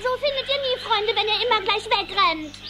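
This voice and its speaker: A woman's voice: very high voice, lively intonation, normal speed, background noise. A woman voicing a chatty, eager, friendly little girl duckling in a children's cartoon radio play. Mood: chatty, cheerful.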